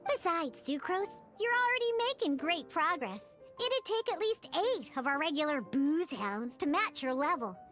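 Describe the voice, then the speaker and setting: Breezy high-voiced cat-girl bartender. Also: very animated, moderate pace, a bit bright. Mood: encouraging. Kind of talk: anime game voice acting. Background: game music.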